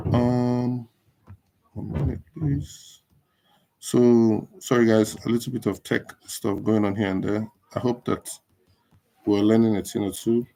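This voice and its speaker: A Nigerian man whose first language is Igbo, hosting a casual live stream and chatting with viewers, unhurried with many fillers. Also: lowish voice, creaky, warm tone, rough recording.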